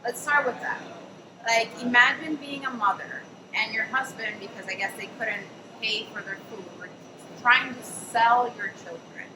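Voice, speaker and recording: A woman's voice: fairly high pitch, normal speed. Woman host, chatty storyteller, heard from a distance in a noisy, echoey café. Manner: chatty, many fillers and "I'm like". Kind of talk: casual anecdotal storytelling at a panel. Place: café event space.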